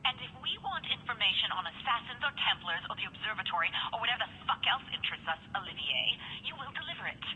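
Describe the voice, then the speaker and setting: An actress playing a cold, commanding corporate executive in a video game, curt and condescending. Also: female voice, medium pitch, big pitch swings, fast talker, hardly any pauses, pushed and bright, highs cut off, a little hiss or hum. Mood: stern. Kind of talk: video game cutscene acting.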